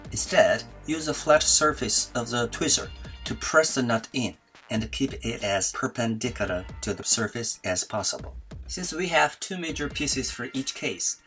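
A man's voice: medium pitch, pushed and bright. A man giving scripted tutorial narration as a maker presenting an electronics build. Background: background music.

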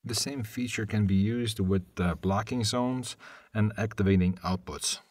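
A man presenting a live software tutorial as a technical webinar presenter, hesitant, with short pauses mid-sentence. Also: lowish voice, no echo, clean studio sound. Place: voice-over on a screen-share webinar.

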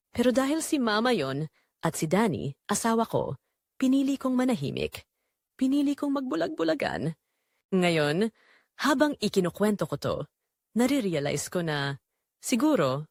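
A woman playing a betrayed young wife in an audio drama, narrating her heartbreak in earnest, measured, confessional phrases. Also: mid-range voice, no room sound, clean studio sound. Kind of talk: scripted first-person dramatic narration.

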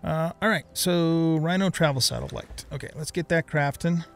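A man's voice: on the high side, moderate pace, vocal fry, faint music behind. A middle-aged man giving casual let's play commentary on a video game, thinking aloud and muttering to himself.

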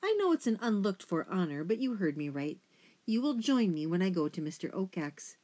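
A woman's voice: mid-range voice, very animated, a little fast, darkish tone. A woman voicing a pompous, indignant father mouse in a storybook, speaking in a stern, formal manner. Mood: grandly pompous.

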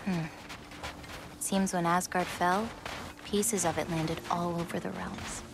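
Gentle, unhurried teenage girl. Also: fairly low pitch, fairly even intonation, vocal fry, noisy background. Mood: calm, explaining. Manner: soft, tender, coaxing. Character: gentle, nurturing, self-possessed teenage girl giantess. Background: music.

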